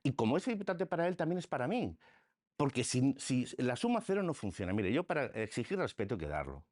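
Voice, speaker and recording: A man's voice: mid-range voice, very animated, brisk, darkish tone, dry recording, studio quality. A middle-aged man, a politician in a radio interview, arguing with emphatic rhetorical questions and frequent restarts. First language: Spanish.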